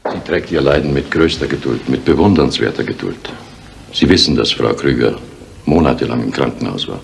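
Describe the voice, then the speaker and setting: An actor playing an earnest, solemn village confidant with measured, formal delivery in a seventies radio crime drama. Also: male voice, extremely low pitch, lively intonation, gravelly, heavily acted. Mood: earnest, defensive. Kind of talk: 1970s German radio crime drama acting. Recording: old radio recording with faint noise.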